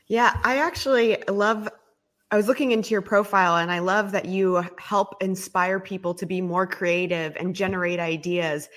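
A woman co-hosting a live audio talk show, asking questions quickly and eagerly. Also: mid-range voice, fairly even intonation, a bit bright. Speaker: co-host.

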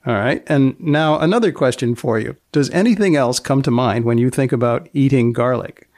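Dry deadpan guy hosting a podcast, lots of creak in his voice, kinda deliberate. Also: mid-range voice. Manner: deliberate, dryly probing, deadpan. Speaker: podcast host. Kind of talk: scripted narration and deadpan interviewing.

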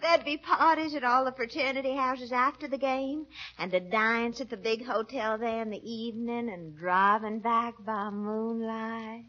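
A woman's voice: on the high side, steady tone, bright, forceful tone. A woman playing a flirtatious, gushing Southern belle nearing thirty, with a Southern American accent, in a 1940s radio comedy. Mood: wistful. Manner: flirtatious, gushing, drawn-out words. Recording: muffled vintage radio recording.

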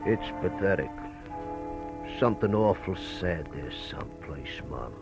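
A sorrowful, sympathetic fellow in an old radio play. His voice is deep and rueful, muffled by a dull recording under loud music. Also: animated, a little slow. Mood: rueful, sad. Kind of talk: 1940s radio drama acting.

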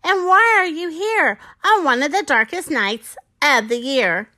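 A woman's voice: high voice, lively intonation, a little slow, pushed and bright, heavily acted. A woman voicing a curious, polite storybook owl with formal, rhyming speech. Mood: inquiring.

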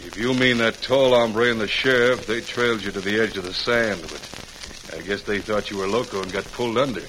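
A man playing a rough, sneering outlaw henchman in an old-time radio western, tough and loud. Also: fairly low pitch, normal speed, lightly acted, low-quality recording. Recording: hissy old radio recording.

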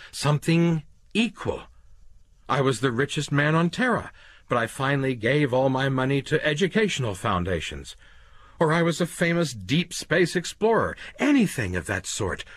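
A man's voice: a little high, no room sound, voice pushed up. A man voicing a desperate hunted man who pleads for his life in a strained, raised voice.

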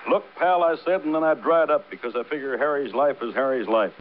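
A man's voice: mid-range voice, fairly quick, close to an everyday voice. A tough, talkative American crew boss acted by a man in a classic radio drama. He talks in breezy, clipped slang, over a muffled old recording with some background noise. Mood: confiding. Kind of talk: 1950s radio drama acting.

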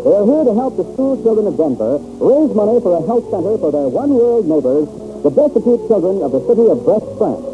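Male American radio announcer, 1940s style. High, lively delivery over music on a muffled old broadcast recording. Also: fast talker.

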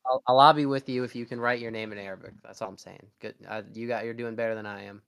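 A man's voice: medium pitch, steady tone, fast, gravelly, a crowd in the background. A young man co-hosting a podcast, chatting casually in a rambling, sarcastic way with frequent restarts. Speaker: podcast co-host. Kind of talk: casual chat.

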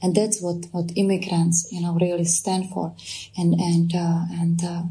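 Woman, halting, mellow, flat. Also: lowish voice, slight background noise. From Czechoslovakia. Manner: halting, many fillers, soft-spoken. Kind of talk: archival interview clip.

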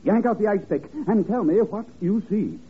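A theatrical, self-important detective in an old radio comedy. The voice is fairly high and animated, on a thin, tinny recording with faint noise. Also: male voice, normal speed, husky, heavily acted. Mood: triumphant. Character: pompous male crooner detective, grandly deducing. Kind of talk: 1950s radio comedy acting.

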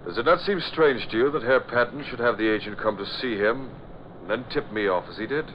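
An actor playing a ruthless, coolly decisive Nazi agent with clipped formal speech, in 1940s radio drama style. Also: male voice, medium pitch, a little fast, close to an everyday voice. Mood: cold, decisive. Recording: dull old radio, motor noise behind.

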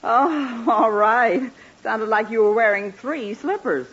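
Brief: mild housewife, soft-spoken, slightly husky, high and lively; vintage muffled radio. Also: female voice, slow. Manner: gentle, soft-spoken, dutiful. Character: patient, mild-mannered housewife and mother. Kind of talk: 1940s radio acting. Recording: muffled old radio broadcast.

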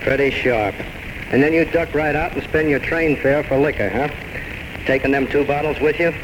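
A man's voice: a little high, steady tone, moderate pace, lightly acted. A man playing a plain-spoken, world-weary bartender in an old-time radio drama, blunt and folksy in his ungrammatical working-class speech. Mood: wry. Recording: noisy vintage radio recording.

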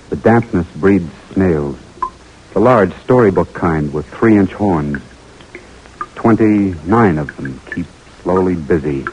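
An actor with an American accent playing an earnest, weary imprisoned army lieutenant in an old-time radio drama, speaking haltingly and intimately. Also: male voice, sits low, a little mellow, slight background noise, rough recording. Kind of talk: 1940s radio drama acting, letter narration. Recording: vintage radio broadcast with music.

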